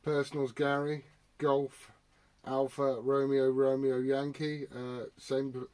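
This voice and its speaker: A man's voice: medium pitch, flat delivery, takes it slowly, a little mellow. A man making casual radio contact as an amateur operator, using radio jargon over a transmission. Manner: radio jargon, spelling out with phonetic alphabet.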